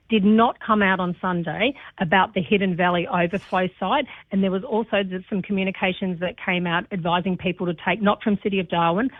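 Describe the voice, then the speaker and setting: A woman speaking as a council spokesperson in a radio interview, explaining in measured official phrasing and correcting herself as she goes. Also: medium pitch, no echo. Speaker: city council operational spokesperson. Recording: narrow tinny phone line.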